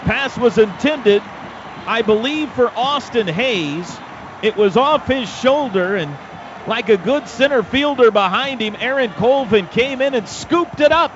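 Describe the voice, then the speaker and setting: An American man calling live football play-by-play on radio in clipped, excitable bursts, recorded in lo-fi broadcast sound. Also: very high-pitched. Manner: excitable, clipped rapid-fire calls. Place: stadium radio booth. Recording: dull lo-fi broadcast with loud stadium noise. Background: loud stadium ambience.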